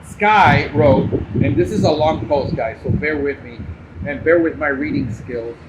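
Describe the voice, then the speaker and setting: A man's voice: a little high, a little slow. An American man hosting a podcast in a casual, unscripted way, with a blunt, combative manner. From Cuban, grew up in New Jersey and Miami. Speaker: podcast host. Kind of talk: casual unscripted live commentary. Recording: muffled, loud background noise.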